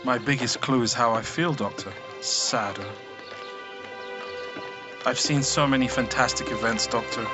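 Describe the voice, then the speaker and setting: A man acting a gentlemanly, cerebral Victorian detective with a formal, precise, courtly manner in a radio drama. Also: medium pitch, measured pace, pauses often, over music, close to an everyday voice. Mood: earnest, sad.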